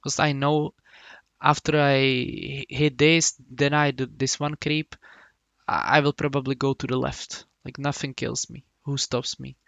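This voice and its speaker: Man, from the Republic, gravelly voice, dry close sound, halting coach talk. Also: medium pitch, level tone, a bit bright, dry recording. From Czech Republic. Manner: halting, many restarts and hums while explaining. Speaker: game coach.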